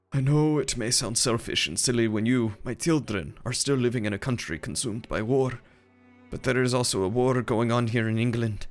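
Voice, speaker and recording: Casting: man playing elderly Romanian father reading his farewell letter, tender and solemn, mid-pitched, light music behind. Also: lightly acted. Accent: put-on Romanian. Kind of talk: reading a letter aloud in character.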